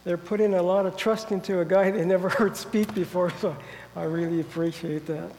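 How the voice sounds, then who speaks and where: A man with a stuffy, nasal voice from a head cold preaches and reads scripture aloud. His voice sits high but sounds soft and mellow. He speaks carefully and earnestly, and the sound rings out with a long reverb, as in a large hall. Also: steady tone, brisk, a little hiss or hum. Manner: careful, earnest delivery with frequent throat clearing. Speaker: guest preacher. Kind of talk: sermon with Bible reading.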